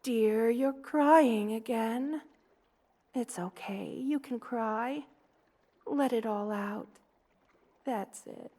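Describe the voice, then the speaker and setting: A woman voicing a gentle, motherly spider queen in an ASMR roleplay, tender, cooing and soothing as she dotes on the listener. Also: a little high, exaggerated voice. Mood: soothing, concerned. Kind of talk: ASMR roleplay, personal attention.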